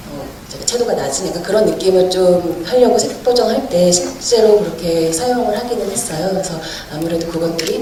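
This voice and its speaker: A woman film director from Cheongju answering audience questions at a festival screening, thoughtful and often laughing as she explains. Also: fairly low pitch. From Cheongju, South Korea. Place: large cinema hall. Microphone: handheld mic heard from far in the hall. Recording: echoey hall audience recording, distant and noisy.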